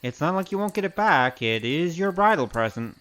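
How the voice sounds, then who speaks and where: A woman's voice: deep voice, expressive, a little fast, fairly bright tone. A woman acting in an audio drama as a wry, streetwise investigator with a cocky, teasing, casual manner.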